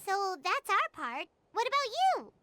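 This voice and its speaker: A woman voicing a tiny, excitable floating companion in a video game, speaking in a high, bouncy, eager way. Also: measured pace, full character voice. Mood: chirpy.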